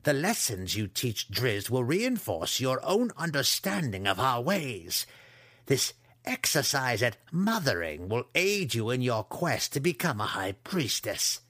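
Fantasy audiobook villainess read by a man: imperious dark elf matron, menacing, deliberate, in a dry recording. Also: mid-range voice, sing-song, no room sound, voice pushed up. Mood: stern command. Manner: slow, threatening. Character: stern, menacing dark elf matron, commanding her daughter.

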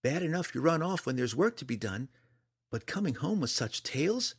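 Storybook older sister, played by a man: raised pitch, bossy, sharp-tongued, doubting, lively intonation. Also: normal speed, slightly rough, exaggerated voice. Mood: scolding. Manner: scolding, sharp, skeptical. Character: bossy, doubtful big sister, a scolding farm girl.

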